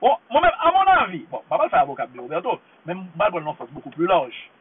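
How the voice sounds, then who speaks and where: Impassioned Haitian man, husky voice, tinny sound. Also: high-pitched, wildly swooping pitch, fast. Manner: impassioned, repeats himself for emphasis. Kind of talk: emphatic monologue to camera. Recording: tinny phone-like line.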